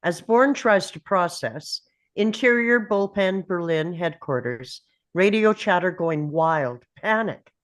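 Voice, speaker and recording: A woman's voice: fairly low pitch, expressive, slow. A woman narrating screenplay stage directions in clipped phrases.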